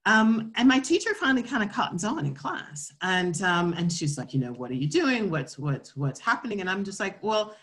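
A woman's voice: medium pitch, big pitch swings, moderate pace, hardly any pauses. An American woman giving an informal autobiographical talk, reflective and feeling her way through short, repeated phrases. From Florida, southern United States. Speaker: scientist and research leader giving a talk.

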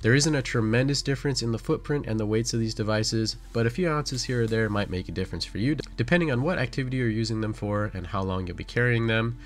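A man's voice: medium pitch, quick pace, few pauses. A man delivering a scripted product review as channel host, in a matter-of-fact, instructional way.

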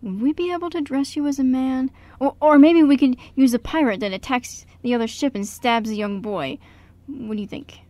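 A woman playing an excitable, old-fashioned male theater director in an amateur radio play. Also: high voice, moderate pace, no room sound, close to an everyday voice. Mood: musing.

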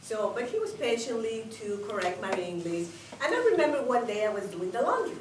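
A Peruvian woman with a Spanish accent, a native Spanish speaker, tells a funny story from her marriage in an animated way, with fillers and non-native grammar. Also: a little high, a little fast, slight background noise. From Peru. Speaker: speech contestant. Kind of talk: humorous contest speech. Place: meeting hall with a live audience. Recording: echoey hall, distant mic.

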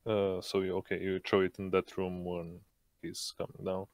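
A man narrating as game master of an online roleplaying game, with hesitant, halting delivery over voice chat. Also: sits low, monotone, darkish tone, dry recording. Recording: background noise on voice chat.

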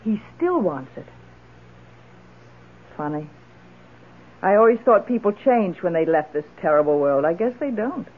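An actress playing a weary, tart older widow in an old-time radio drama, dry and resolute. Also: female voice, lowish voice, expressive, measured pace, long pauses. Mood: confiding, resolute. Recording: muffled old radio broadcast.

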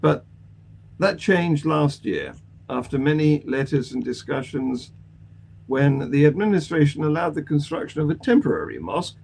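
An older man presenting news items to a panel as host over a video call, halting and deliberate, with pauses. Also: mid-range voice, gravelly, a little mellow. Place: small room at home, indoors. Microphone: video call microphone. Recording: video call with light humming noise.

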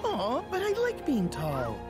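A man voicing a cheerful, naive living snowman in an animated game. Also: sits high, unhurried, exaggerated voice. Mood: excited. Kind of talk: animated game cutscene acting. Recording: loud music behind the voice.

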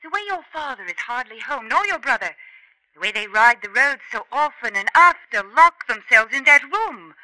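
A prying young bride. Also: female voice, high-pitched, lively intonation, normal speed, bright, forceful tone, no echo, heavily acted, low-quality recording. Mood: demanding. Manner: insistent, pressing questions. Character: prying young bride, sharp-tongued and defiant. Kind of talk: 1950s radio drama acting. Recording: old radio broadcast with music.

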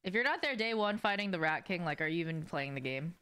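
Smooth, low-voiced young woman streaming games. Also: expressive, quick pace, sharp tone. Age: young adult. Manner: informal, sweary, slangy. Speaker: game streamer. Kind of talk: casual livestream chat with viewers while gaming. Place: indoors, small home room with shelves.

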